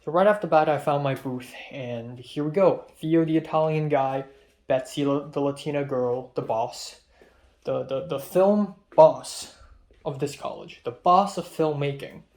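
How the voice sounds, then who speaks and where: A man's voice: fairly high pitch, a little slow, darkish tone. Chatty young man from Hong Kong. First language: Cantonese. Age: young adult. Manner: rambling, chatty, frequent fillers and trailing-off asides. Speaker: college student vlogger. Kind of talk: casual vlog storytelling.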